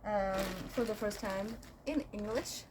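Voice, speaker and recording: A woman's voice: medium pitch, measured pace, noisy background. Playful young woman gardening outdoors. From Sweden. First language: Swedish. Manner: chatty, playful, talks to herself and hums while working. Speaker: gardening vlogger. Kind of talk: casual chat while gardening.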